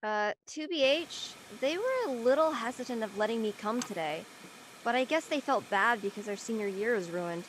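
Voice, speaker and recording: A young woman playing a practical seventeen-year-old high school girl in an audio play, casual and matter-of-fact. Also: moderate pace, slight background noise, lightly acted, poor audio. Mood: friendly, casual. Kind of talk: conversational acting in an online audio play.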